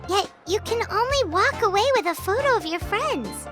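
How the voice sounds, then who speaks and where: A tiny fairy companion girl in an anime game, with an extremely high, childlike squeak. Her delivery is cheerful and bounces up and down, with music playing underneath. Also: animated, moderate pace. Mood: admiring. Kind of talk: anime-style video game voice acting.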